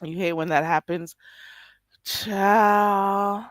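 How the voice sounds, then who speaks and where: A woman's voice: medium pitch, fairly even intonation, extremely slow, no room sound. A woman live streaming late at night, chatting casually with her viewers and reading their comments aloud. She is a little stuffy and nasal, laughs often and keeps calling people "child".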